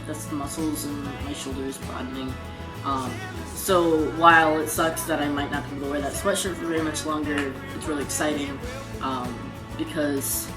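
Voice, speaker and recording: A young man talking casually in a video diary, pausing often and filling gaps with "um". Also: fairly high pitch, low-quality recording. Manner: halting, frequent ums. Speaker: vlogger. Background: guitar music.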